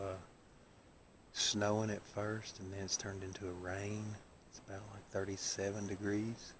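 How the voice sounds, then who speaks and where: A man talking casually to camera as a folksy hunting vlog host, in a drawn-out way. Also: low-pitched, gravelly. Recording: noisy outdoor and vehicle background.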